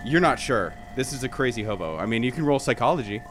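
A man's voice: medium pitch, lively intonation, fast, fairly bright tone, soft background music. A man running a tabletop role-playing scene as game master in an audio drama.

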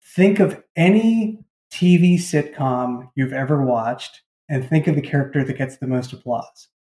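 A man's voice: medium pitch, a little slow, mellow. A man chatting casually and reflectively as a writer being interviewed. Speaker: audio drama creator and writer, interview guest. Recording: echoey room.

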